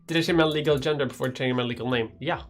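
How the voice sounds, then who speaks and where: A woman's voice: a bass voice, rapid-fire, faint music behind. Rambling Swedish-accented woman streamer. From Sweden. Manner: rambling, swears casually, frequent restarts and laughs. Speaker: YouTuber hosting a livestream. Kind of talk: casual livestream chat reading chat questions.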